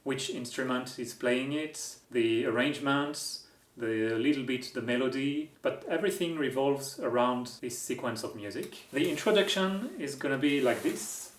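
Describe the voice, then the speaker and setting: A man's voice: mid-range voice, normal speed, reverberant. A man with a French accent, hosting a music-making vlog and explaining his work with wry, self-deprecating humor. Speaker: musician and video host. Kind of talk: casual explanatory vlog narration.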